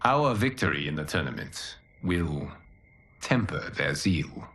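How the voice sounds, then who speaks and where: An actor playing a calm fire god and mentor in a game cinematic, speaking in a formal, measured, authoritative way. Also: male voice, very deep voice, gravelly, heavily acted. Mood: explaining gravely. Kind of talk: video game cinematic acting.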